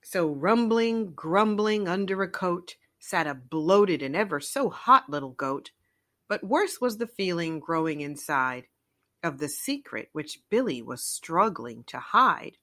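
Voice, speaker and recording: A woman storyteller reading a children's picture book aloud in an expressive narrating style. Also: fairly low pitch, unhurried.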